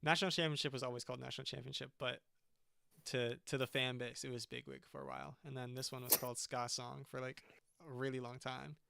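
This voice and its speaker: A man's voice: mid-range voice, level tone, quick pace, gravelly, noisy background. A young man, a band's singer, chatting casually on a livestream and often stopping to restart his sentences. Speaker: singer and band frontman.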